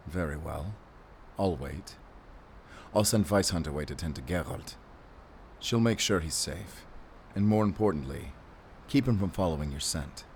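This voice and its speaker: A man's voice: low voice, moderate pace, vocal fry, long pauses, darkish tone, slight background noise. A man playing a stern, guarded werewolf hunter, terse and commanding, in an audio drama. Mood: firm, commanding. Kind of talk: cinematic audio roleplay acting.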